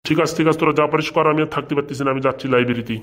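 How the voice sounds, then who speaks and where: Man playing a fast-talking blustering village schoolteacher. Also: fairly high pitch, steady tone, a bit bright, close to an everyday voice. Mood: queasy, weary. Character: crude, easily swayed village schoolteacher, comically indulgent. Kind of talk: comic cartoon dialogue.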